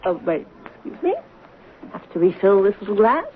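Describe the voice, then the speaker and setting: On a tinny, noisy radio recording, a tipsy, sardonic woman played by an actress drawls through a drama with arch pauses. Her lively, swinging voice sounds mocking and bitter, like someone drowning a failing career in drink. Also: medium pitch, close to an everyday voice. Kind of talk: 1970s radio drama acting.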